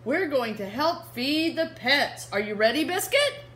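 A woman voicing a cheerful little girl in a storybook, speaking in bright sing-song exclamations. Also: high voice, sing-song, unhurried, a little hiss or hum, voice pushed up, exaggerated voice.

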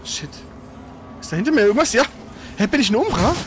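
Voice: medium pitch, big pitch swings, fast talker, very noisy. A player in a casual let's play, teasing and bossing a partner around with blunt, slangy exclamations. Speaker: gaming video host, co-op player.

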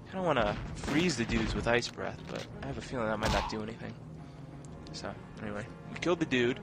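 A man giving casual, wryly sarcastic commentary as he plays through a video game. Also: medium pitch. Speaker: video game let's player. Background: video game music and sound effects.

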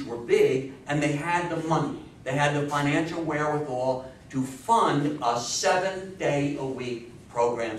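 An American man in his fifties or sixties giving an informal history talk, emphatic and fond of rhetorical questions. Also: a little high. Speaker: lecturer on radio history. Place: small indoor meeting room with seated audience. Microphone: distant camera microphone. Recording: echoey room, far microphone, faint hiss.

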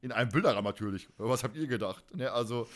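A man's voice: medium pitch, fast, a bit bright. A man hosts a fan podcast in a casual, chatty way. His speech is colloquial and emphatic, and he tends to ramble as he goes. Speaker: podcast host.

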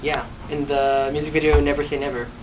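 A man's voice: fairly high pitch, flat delivery, fairly quick, a crowd in the background. A young man chatting and joking casually with a friend in a room, picked up by a handheld camera. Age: young adult. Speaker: vlogger's friend. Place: indoor room. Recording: muffled, noisy handheld camera audio.

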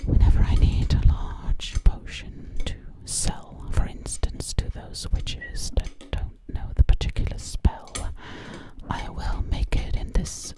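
Slow whispering witch, acted by a woman. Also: close to an everyday voice. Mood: soothing, possessive. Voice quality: breathy, close whisper. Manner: slow, hypnotic, repeats words over and over. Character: sly, boastful female witch who takes people as coats. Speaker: ASMR roleplay performer. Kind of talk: ASMR whispered roleplay. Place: small indoor room at a table. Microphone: close to the mic.

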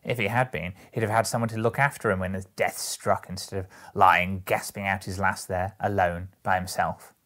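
A man voicing a brazen, coarse, unashamed charwoman in a Victorian storybook, loud, scornful and emphatic. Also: deep voice, fairly even intonation, moderate pace, few pauses.

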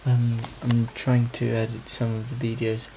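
A man's voice: medium pitch, unhurried, gravelly, noisy background. A young man in his twenties chatting casually to a handheld camera in a travel vlog, sometimes tired. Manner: relaxed, chatty, talks straight to camera. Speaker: vlogger. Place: car and indoor rooms. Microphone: handheld camera at arm's length.

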